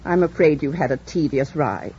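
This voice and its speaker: A woman's voice: deep voice, lively intonation, moderate pace. An actress playing a kindly, fussing older housekeeper in a vintage radio drama, motherly, genteel and chatty. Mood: hospitable. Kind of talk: 1940s-50s radio drama acting. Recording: dull vintage radio recording.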